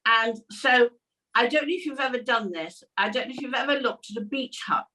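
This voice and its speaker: A woman's voice: fairly high pitch, brisk, sharp tone. A middle-aged British woman chatting casually and telling anecdotes as a co-host on an online panel show. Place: at home indoors on a video call. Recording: slightly distant video-call mic.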